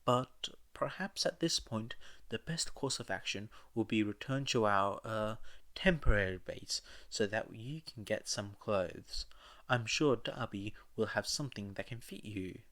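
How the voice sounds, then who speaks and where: A man voicing a courteous, diplomatic gentleman villain with formal, polite manners. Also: lowish voice, a little slow. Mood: tactful.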